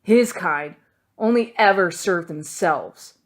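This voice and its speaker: A woman's voice: fairly low pitch, expressive, takes it slowly. A woman voicing an arrogant, bullying older boy swordsman in an audiobook, sneering and superior. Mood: contemptuous.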